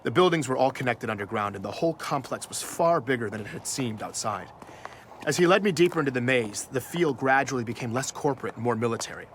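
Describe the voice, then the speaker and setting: A man's voice: medium pitch, lively intonation, slight background noise, close to an everyday voice. Nervous journalist-narrator, played by a man, mid register, self-conscious, for a sci-fi audio drama. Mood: measured, uneasy. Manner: measured narration, hesitant in dialogue. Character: nervous male investigative journalist narrating his own story. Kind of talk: scripted first-person audio drama narration.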